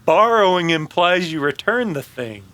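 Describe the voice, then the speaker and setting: A man's voice: high-pitched, lively intonation, moderate pace, bright, forceful tone, no room sound. A man playing a wounded, grumbling, dry-witted cowboy in an old-time western radio drama, sounding exasperated and sardonic. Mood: teasing.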